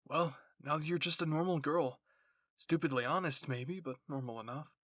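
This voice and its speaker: A man's voice: a little high, normal speed, fairly bright tone, no echo. A man voicing an intense, blunt and protective teenage boy soldier in an anime fan fiction roleplay. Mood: earnest.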